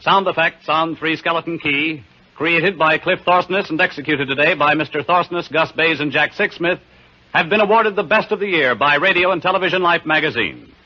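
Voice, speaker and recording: Bright, crisp old-time radio announcer. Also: male voice, a little high, normal speed. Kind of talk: formal closing credits read on air. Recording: lo-fi old radio broadcast with music.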